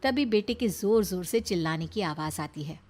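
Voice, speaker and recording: A woman's voice: lowish voice, lively intonation, moderate pace, a little mellow, faint music behind. A woman storyteller reading scripted narration for a moral story.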